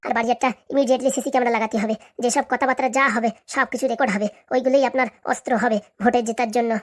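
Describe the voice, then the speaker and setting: A woman plays a cunning, boastful henchwoman in a comic cartoon. She speaks high and extremely fast. Her manner is assertive and conspiratorial, as she soothes her boss like a fixer. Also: steady tone, natural voice. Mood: confident. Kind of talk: comic cartoon drama acting.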